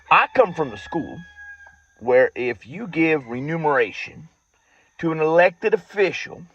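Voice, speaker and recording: A man with a Southern US accent telling a story informally in a folksy, sardonic way, with drawn-out emphasis and rustic slang. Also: medium pitch. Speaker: vlogger telling personal stories. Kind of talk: informal storytelling monologue to camera. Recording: dull lo-fi audio.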